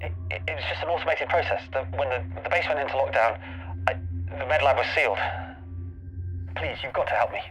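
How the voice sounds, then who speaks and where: A man's voice: medium pitch, fast, pauses often, a bit bright, close to an everyday voice, low-quality recording. A man playing a frightened, trapped woman scientist who stammers and pleads over a communicator in a tabletop game. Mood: anxious, pleading. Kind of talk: tabletop role-play in character.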